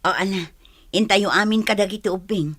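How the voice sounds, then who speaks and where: Radio drama mother for a woman: Ilocano accent, stern and sharp-tongued, bright forceful tone, close dry recording. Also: medium pitch, brisk, no echo, close to an everyday voice. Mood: matter-of-fact. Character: stern, scolding village mother.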